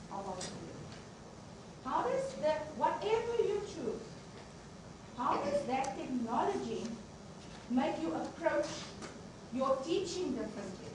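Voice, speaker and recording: Woman speaking unmiked in echoey hall. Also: high voice. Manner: earnest, restarts phrases, emphatic questions. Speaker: university deputy vice chancellor opening a colloquium. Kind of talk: unscripted opening address. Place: lecture venue. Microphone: no microphone, speaking unamplified. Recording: echoey, distant room recording with noise.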